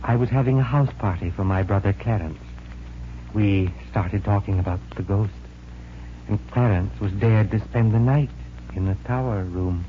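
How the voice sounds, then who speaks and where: An actor in a 1940s radio mystery playing a courteous, formal and uneasy owner of a haunted mansion. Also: male voice, on the low side, natural voice. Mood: grave, troubled. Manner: formal, polite, measured. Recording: muffled, noisy 1940s radio recording.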